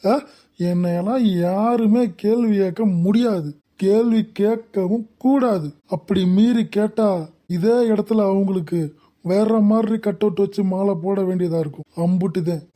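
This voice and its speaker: Performer as cartoon father-in-law, very dark mellow tone, Tamil accent, middle-aged, bossy, blustering, threatening. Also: mid-range voice, unhurried, natural voice. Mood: domineering. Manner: domineering, blustering. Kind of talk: comic cartoon parody of a TV soap.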